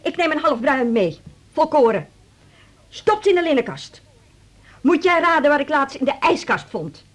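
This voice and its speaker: Casting: put-upon carer daughter, middle-aged, higher-pitched female voice, complaining and pressing, radio play. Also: very animated, normal speed, long pauses. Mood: exasperated. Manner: complaining, insistent. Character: overburdened, nagging daughter caring for her aged mother. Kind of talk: 1980s Dutch radio drama acting.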